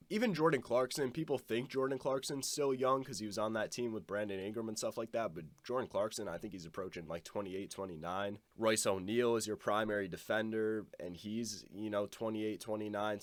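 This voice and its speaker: A man's voice: on the low side, a bit bright, no room sound. Stuffed-up young man, blunt sports take. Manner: loose, blunt, swears casually. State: stuffed-up nose from a cold. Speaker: basketball commentator. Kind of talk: casual sports opinion monologue.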